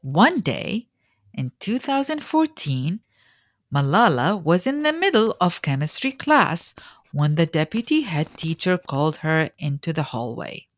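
A woman reading a scripted storybook biography as narrator, in a measured, expressive way with dramatic pauses. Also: low-pitched, no room sound.

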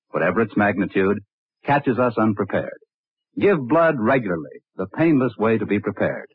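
An earnest, formal male announcer in old-time radio style. His fairly low voice moves with a lot of melody, and the recording is dry, tinny and narrow like a vintage broadcast. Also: husky, no echo.

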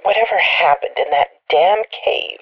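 A woman playing a bitter divorcee on a tipline, telling her story deliberately and resentfully in an audio drama. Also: on the low side, husky. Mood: bitter, grieving. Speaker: tipline caller. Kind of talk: dramatic monologue in audio fiction. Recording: dull lo-fi radio-like sound, music behind.

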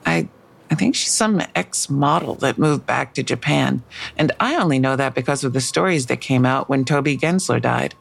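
Audio drama detective: weary, guarded, hesitant, with plenty of vocal fry. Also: female voice, rumbling low, moderate pace, heavily acted. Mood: defensive. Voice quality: creaky. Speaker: actor in a fiction podcast. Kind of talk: naturalistic audio drama acting.

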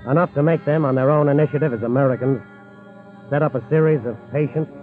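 A man's voice: a little high, steady tone, fast talker, natural voice. A man narrating a 1940s radio crime drama in a solemn, emphatic, dramatic style. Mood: grave, suspenseful. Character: grave, dramatic male documentary crime narrator. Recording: tinny 1940s radio recording. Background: music.

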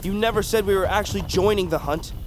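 A woman's voice: mid-range voice, quick pace, noisy background, lightly acted. Woman playing a worried, cautious young sister. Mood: exasperated. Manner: worried, hesitant, pleading. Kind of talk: fantasy audio drama acting. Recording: loud music under the voice. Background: loud cinematic music.

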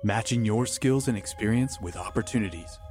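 A man's voice: on the low side, moderate pace, a little mellow, soft background music. A man reading scripted, dramatic advert narration.

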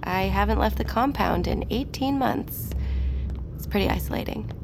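A woman's voice: medium pitch, normal speed, creaky, noisy background, lightly acted. An actress playing a harried, dry-witted woman tech executive in a naturalistic audio drama. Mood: candid, wry. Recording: dramatic music score behind.

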